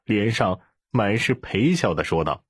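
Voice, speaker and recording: Deep, animated male audiobook narrator. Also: measured pace, breathy edge, dry recording, studio quality. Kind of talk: scripted story narration.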